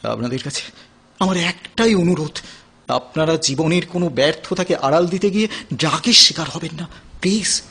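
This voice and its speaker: A man acts out a tender, wounded lover in a radio-style Bengali play. His voice is fairly high for a man and rough with huskiness. He speaks dramatically, with weighted pauses and a poetic lilt. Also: bright, forceful tone, heavily acted. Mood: earnest, pleading. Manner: dramatic, pausing, poetic delivery. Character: emotional man recovering from drug addiction, tender lover. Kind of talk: Bengali audio play acting.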